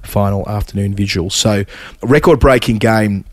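A man, hoarse and raspy from a cold, hosting a casual cricket chat full of scores and restarts. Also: lowish voice, normal speed, no room sound. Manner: stat-heavy, with frequent fillers and restarts. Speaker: cricket podcast host.

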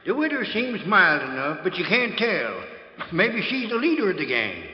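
An actor playing a grumbling, wary cowhand sidekick with rustic speech in a classic radio Western. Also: male voice, high voice, huge pitch swings, moderate pace. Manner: aggrieved, rustic. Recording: echoey, dull old radio recording.

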